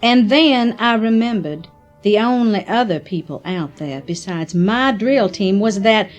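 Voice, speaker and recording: Woman acting a slow-talking, defiant oilwoman. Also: mid-range voice, lively intonation, dull audio, faint music behind, lightly acted. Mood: cool, accusing. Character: defiant, calculating oilwoman out to blackmail a rival. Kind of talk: audio drama acting.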